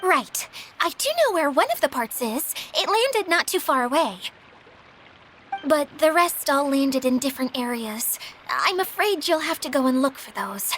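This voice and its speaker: A woman's voice: high voice, lively intonation, unhurried, fairly bright tone, a little hiss or hum. A woman voicing a timid, polite and self-doubting mascot caretaker in a video game, speaking in a hesitant, apologetic way. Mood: earnest, polite.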